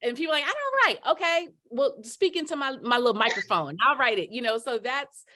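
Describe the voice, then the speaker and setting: A middle-aged woman telling her story as a podcast guest, lively, acting out quotes with big jumps in pitch. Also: brisk. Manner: animated, voices quotes with big pitch leaps. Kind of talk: storytelling in casual chat.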